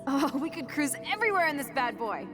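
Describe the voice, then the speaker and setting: A woman's voice: sits high, sing-song, a little fast. An American woman playing a brash, rebellious, loyal teenage girl in a video game, slangy and cocky. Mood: playful, teasing. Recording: music behind the voice. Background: game soundtrack music.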